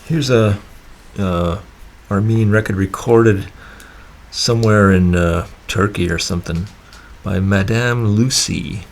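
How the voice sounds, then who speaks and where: A man casually introducing records in a halting way. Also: lowish voice. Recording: slightly noisy room recording.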